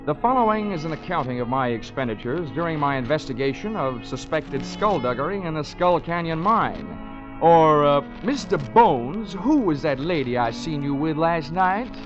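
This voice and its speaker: An actor playing a flippant, deadpan insurance investigator who narrates his own case in a 1940s radio drama. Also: a little high, moderate pace. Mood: wry, flippant. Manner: wry, flippant, deadpan wisecracking. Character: wisecracking male freelance insurance investigator. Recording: muffled 1940s radio recording, music behind.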